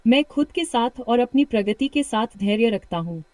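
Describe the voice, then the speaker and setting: Brief: female coach, British accent, slightly high voice, encouraging, slow repeated drill lines. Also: expressive, dry recording. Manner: warm encouragement, slow repeated practice sentences. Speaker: English speaking coach. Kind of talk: guided shadowing practice lesson.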